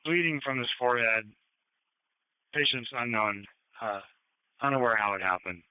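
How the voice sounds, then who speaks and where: A man's voice: mid-range voice, animated, normal speed, pushed and bright, clean tone, no room sound. A man speaking clipped, procedural emergency radio traffic through a two-way radio. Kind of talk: police and fire radio traffic. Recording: phone-like police scanner radio.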